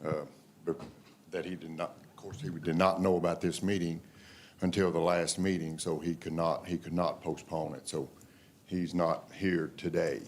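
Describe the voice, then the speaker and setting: A man, speaking at a public meeting in a very low, flat voice. He stops and restarts often, filling the gaps with fillers. There is faint room noise behind him. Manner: halting, many fillers and restarts. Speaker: engineer for applicant.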